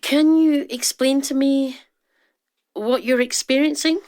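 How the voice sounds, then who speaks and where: A woman's voice: high voice, steady tone, a little mellow. Woman acting an earnest, formal research scientist. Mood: grave, clinical. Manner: formal, measured. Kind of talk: radio drama acting.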